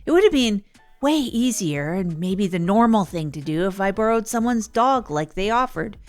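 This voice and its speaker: A woman's voice: medium pitch, lively intonation, moderate pace, lightly acted. Audio drama lead, acted by a woman: earnest female radio host, hesitant, chatty, self-deprecating, faint music underneath. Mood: chatty, reflective. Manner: hesitant, rambling, chatty, chuckles at herself. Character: earnest, self-effacing woman recounting her misadventures on radio. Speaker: narrator and radio show host. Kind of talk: improvised first-person audio drama monologue.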